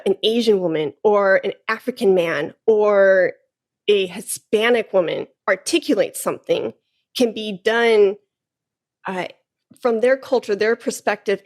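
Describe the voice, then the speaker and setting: A woman hosting a podcast interview, chatting casually with quick enthusiastic reactions. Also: mid-range voice. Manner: enthusiastic, warm affirmations. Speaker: podcast host.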